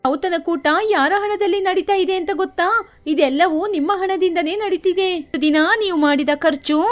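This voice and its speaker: A woman acts out a wise cartoon duck trader with a very high voice. She addresses the villagers in a warm, speech-making manner, with music underneath. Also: moderate pace. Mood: earnest. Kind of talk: animated moral story dialogue for children.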